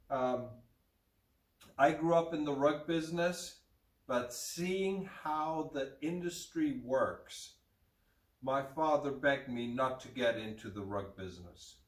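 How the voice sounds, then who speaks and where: A middle-aged rug dealer from Germany with a slight German accent, talking frankly to camera with fillers, recorded by a far camera in an indoor room. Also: male voice, a little high. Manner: frank, plain-spoken, with fillers. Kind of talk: casual talk to camera. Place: room with hanging curtain, indoors. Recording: roomy, distant camera sound.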